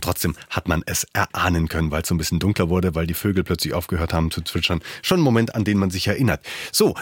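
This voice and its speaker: A middle-aged man presents a radio morning show in a casual, conversational way. His voice is slightly rough and very animated in pitch, captured close and dry in a studio. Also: dry recording. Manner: addresses listeners directly, conversational.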